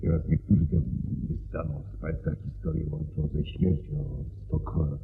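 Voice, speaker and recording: An actor playing a worried, gloomy gentleman landowner in a radio play, speaking in a measured, formal way. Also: rumbling low, little pitch movement, creaky. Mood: grave, recounting. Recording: tinny radio recording with hum.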